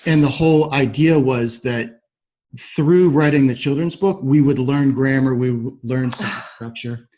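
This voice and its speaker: A man's voice: mid-range voice, measured pace, dark tone. A man with a mid-range voice hosts a podcast interview. He speaks conversationally, in an agreeable and reflective manner. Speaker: podcast host.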